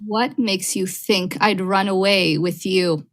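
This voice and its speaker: A young woman playing a coquettish but cool sweetheart in a vintage radio thriller, with drawn-out theatrical delivery. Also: medium pitch, steady tone, lightly acted. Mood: shocked, cold. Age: young adult. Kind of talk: vintage radio drama acting.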